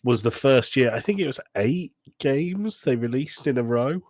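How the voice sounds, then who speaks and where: Male podcast co-host, medium pitch, close dry sound, opinionated casual talk. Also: animated, moderate pace, a little mellow, no echo.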